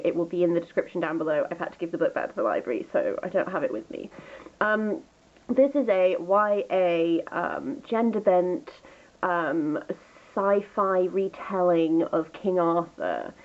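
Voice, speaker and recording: A woman with a cold and a stuffy voice, chatting casually through a book review with frequent ums. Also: lowish voice. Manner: chatty, rambling with many ums. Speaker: book vlogger. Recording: phone-like narrow sound.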